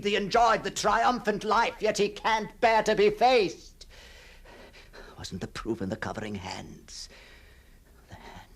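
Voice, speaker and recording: Husky, haunted gentleman, slow and fevered. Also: medium pitch. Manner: fevered, halting, repeating words, theatrical. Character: haunted, obsessive gentleman confronting a ghostly double. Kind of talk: dramatic gothic monologue, radio drama acting.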